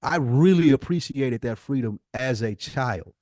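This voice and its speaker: A man's voice: mid-range voice, lively intonation, a little slow, a little mellow, no room sound, studio quality. An American man in his early forties talking casually on a podcast, reflective and emphatic, often repeating himself to make a point. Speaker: podcast host. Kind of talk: casual conversational podcast talk.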